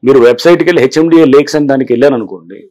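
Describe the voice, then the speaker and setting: A man's voice: medium pitch, racing, warm tone. A man in his fifties, a government official, explaining his work in an office interview on a lapel mic. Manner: explanatory, mixes English phrases, frequent "see". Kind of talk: interview answers. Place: office, indoors.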